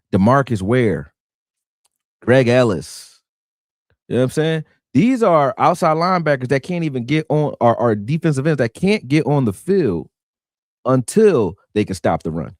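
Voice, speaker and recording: A man rants through a live sports talk stream. His pitch swings widely as he talks, and he keeps leaning on "you know what I'm saying." The sound is close and dry. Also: a little mellow, no echo. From Philadelphia. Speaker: sports show host.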